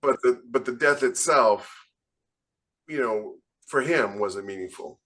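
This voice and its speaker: A man's voice: mid-range voice, a little slow, fairly bright tone, rough recording. A man speaking emphatically and with firm opinions in a casual panel chat about writing, as a podcast co-host. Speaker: podcast co-host and fiction author.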